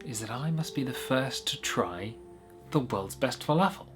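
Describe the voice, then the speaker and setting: A man voicing a sly, seedy badger wizard from a short story, with a slow, drawn-out, theatrical delivery. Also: medium pitch, expressive, music in the background, lightly acted. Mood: sly, scheming.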